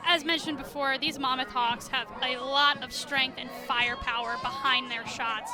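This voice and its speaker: A woman giving live sports analysis as a color commentator. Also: sits high. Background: music and crowd.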